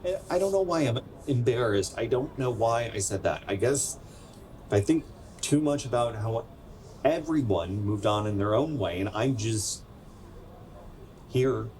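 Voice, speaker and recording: A young man playing a wistful, self-conscious 27-year-old in a naturalistic radio drama, speaking in a halting, conversational way. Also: mid-range voice, lively intonation, darkish tone, lightly acted. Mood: defensive, earnest. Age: young adult.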